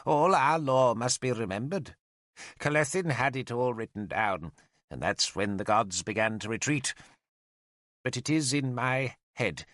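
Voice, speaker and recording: Old mad druid, storybook character, bright sharp voice, theatrical, rambling, indignant, very dry recording. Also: male voice, medium pitch, no room sound. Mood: grave, agitated. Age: older.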